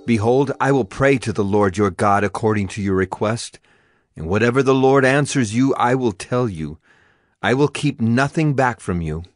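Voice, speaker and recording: An actor playing a stern Hebrew prophet in a dramatized audio Bible, solemn and declamatory. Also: male voice, medium pitch, normal speed, no room sound, clean studio sound, faint music behind, natural voice. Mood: solemn assurance.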